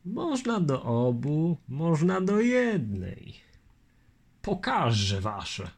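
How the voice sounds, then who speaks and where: A man's voice: on the high side, big pitch swings, very slow, husky, pitched above the performer's own voice, heavily acted. A man voicing a patient, earnest Lithuanian nobleman with old-fashioned courtesy, in an audiobook reading. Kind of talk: audiobook character voice.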